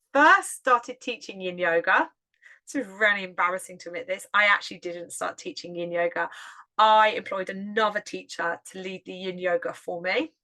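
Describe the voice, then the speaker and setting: A middle-aged woman with a Hertfordshire English accent, hosting a podcast in a loud, chatty way and laughing often. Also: mid-range voice. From England. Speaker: podcast host and yoga teacher. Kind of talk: casual solo podcast talk.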